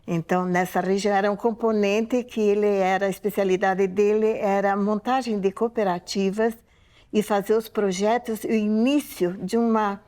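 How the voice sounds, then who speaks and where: A woman's voice: medium pitch, steady tone, normal speed, a little mellow, smooth. A woman in her eighties from Poland, giving a reflective, unscripted spoken testimony and often checking in with tag questions. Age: 80s. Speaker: museum oral-history interviewee.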